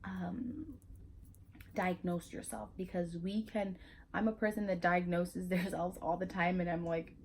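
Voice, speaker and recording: A woman's voice: on the low side, fairly even intonation, a little slow, darkish tone, slight background noise. A young woman with a Spanish accent talking frankly and casually to her viewers, cursing freely and stumbling through fillers and restarts. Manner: frank and chatty, swears, many fillers and restarts. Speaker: vlogger. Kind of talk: casual educational monologue.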